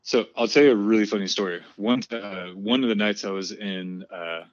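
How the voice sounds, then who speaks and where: Young Californian man, low voice, rambly storyteller in a laid-back chat. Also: fairly even intonation, moderate pace, no room sound, lo-fi sound. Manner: rambling storyteller, heavy "like" and "dude", laughs often. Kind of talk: casual chat.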